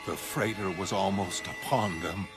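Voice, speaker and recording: Man voicing an anguished, low-voiced mariner. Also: measured pace, music in the background. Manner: solemn, literary. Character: anguished shipwrecked mariner, gothic storyteller. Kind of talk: dramatic first-person story narration.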